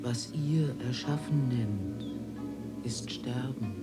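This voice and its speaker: An actor playing a grave, aloof alien philosopher in a German radio drama, making solemn pronouncements. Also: male voice, mid-range voice, slow pace, loud music behind the voice, close to an everyday voice. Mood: grave, insistent.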